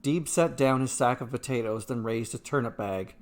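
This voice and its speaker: Male storyteller for scripted fantasy short fiction, mid-pitched voice. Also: moderate pace. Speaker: narrator, storyteller.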